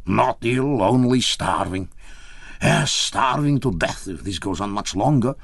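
A male reader plays a starving Russian man from a storybook, theatrical and full of exclamation. The voice is low and gravelly, with a dry, close sound. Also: expressive, unhurried, no echo, heavily acted. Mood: woeful. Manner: exclamatory, dramatic. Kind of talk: audiobook character dialogue.

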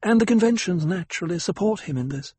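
A poised young noble lady, as a man puts her on, measured and sweetly diplomatic. Her husky tone is pitched a little above his own voice and recorded dry and close. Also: animated, darkish tone, dry recording.

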